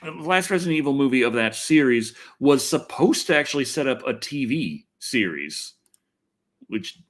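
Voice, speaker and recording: American male livestream host, very lively pitch swings, rambling, self-deprecating, casual swearing. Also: moderate pace. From Texas, United States. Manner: self-deprecating, swears freely, thinks aloud. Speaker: livestream host and video reviewer. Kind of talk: casual rambling livestream chat answering viewers.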